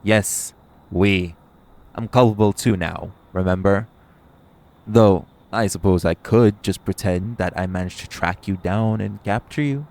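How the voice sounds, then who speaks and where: A man's voice: sits low, a little slow, vocal fry, a little mellow. A man playing a cocky, flirtatious vampire who banters sardonically and swears in an audio roleplay. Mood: playful, smug. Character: cocky, teasing, flirtatious male vampire, long-lived yet youthful.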